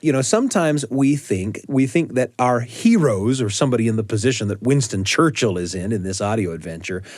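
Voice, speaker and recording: A man hosts a family storytelling podcast conversationally. His average-pitched voice moves up and down a lot, recorded dry with faint music behind. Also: animated, brisk, a little mellow, dry recording. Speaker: podcast host.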